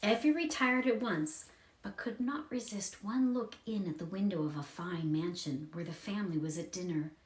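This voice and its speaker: A woman's voice: mid-range voice, normal speed, reverberant, poor audio. A woman reading a children's story aloud as a scripted narration.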